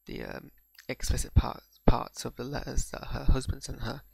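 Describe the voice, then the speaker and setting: Gravelly man with a Bradford-Pakistani accent. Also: mid-range voice, sing-song, moderate pace, no echo. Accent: mixed Bradford and Pakistani. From England. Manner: hesitant, repeats words while gathering thoughts. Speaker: video reviewer. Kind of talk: unscripted reaction monologue.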